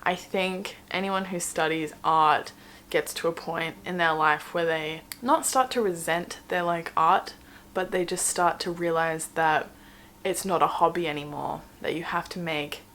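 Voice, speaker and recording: A woman's voice: lowish voice, steady tone, measured pace, a bit bright, slight background noise. Rambling young woman chatting into a camera. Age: 20s. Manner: chatty and rambling, many "like"s and self-mocking asides. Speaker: vlogger. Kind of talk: casual chat while doing makeup. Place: home room indoors.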